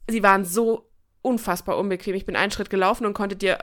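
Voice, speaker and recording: A woman's voice: medium pitch, fast talker. A woman telling personal stories in a casual, joking and colloquial way, as a podcast host. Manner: chatty, colloquial, expressive and joking. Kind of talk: casual chat, personal storytelling.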